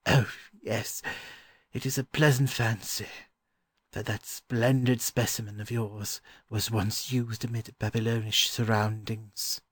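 A man playing a gentle, polite and weary learned gentleman in a dramatized children's audiobook, with a courteous, scholarly manner. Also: lowish voice, level tone, unhurried, dry recording. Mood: scholarly musing.

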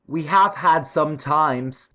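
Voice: medium pitch, takes it slowly, fairly bright tone, pitched above the performer's own voice. A performer voicing a pensive, slightly grumpy British schoolboy, the eldest brother in a storybook. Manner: thoughtful, mildly huffy.

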